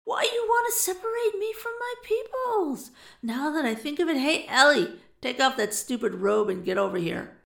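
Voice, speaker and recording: A woman's voice: sits high, lively intonation, normal speed, vocal fry, fairly bright tone, voice pushed up, full character voice. A woman voicing a gleeful, bullying male rogue in a story, with folksy, taunting, howling delivery. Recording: roomy echo.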